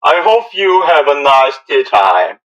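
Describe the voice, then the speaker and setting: A man's voice: on the high side, big pitch swings, a little slow, a bit bright, a little hiss or hum, rough recording. A man acting out a scripted amateur audio drama in formal, stilted English with non-native phrasing. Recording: music under the voice. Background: instrumental music.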